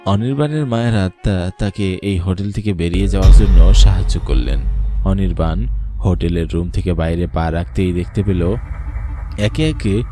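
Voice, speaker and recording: A man's voice: sits low, dark tone. A man narrating a scripted horror story in a dramatic storytelling style.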